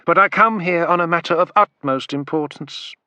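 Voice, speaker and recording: A man's voice: fairly high pitch, normal speed, bright, forceful tone, smooth, no echo, pitched above the performer's own voice. A man voicing a fawning, sing-song male wine merchant in an audiobook, speaking with exaggerated courtesy. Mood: obsequious.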